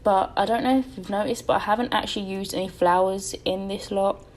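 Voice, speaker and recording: A woman's voice: mid-range voice, steady tone, fairly quick, a little mellow. A young woman giving a rambling, casual voice-over about her building project. She sounds tired and her voice is a little crackly, and she often corrects herself and fills gaps with "um". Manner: rambling, chatty, self-correcting with many ums. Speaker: game building YouTuber.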